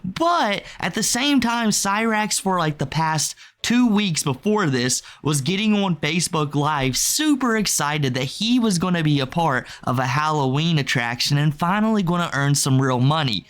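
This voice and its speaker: A man's voice: on the high side, expressive, normal speed, hardly any pauses, a bit bright. A man giving casual video commentary with a mocking, incredulous manner.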